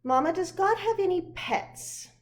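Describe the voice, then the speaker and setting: A woman's voice: very high-pitched, animated, measured pace, a little hiss or hum, voice pushed up. A woman voicing a curious, earnest little girl full of questions in a children's storybook. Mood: curious, wondering. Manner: inquisitive, childlike wonder. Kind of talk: children's picture book read aloud.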